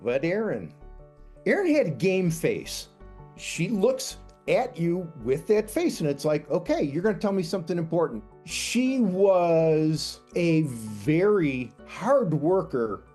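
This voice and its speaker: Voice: mid-range voice, lively intonation, slow pace, mellow, faint music behind. A former teacher recalling a student in an interview, telling it like a story. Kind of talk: interview recollection.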